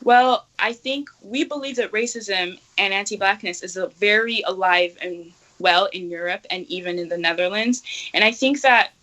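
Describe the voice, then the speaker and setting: A young woman from Nigeria answering interview questions in a bright, clear tone. She speaks earnestly and thoughtfully, with plenty of pauses and restarts as she works out her answers. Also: medium pitch, poor audio. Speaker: activist guest.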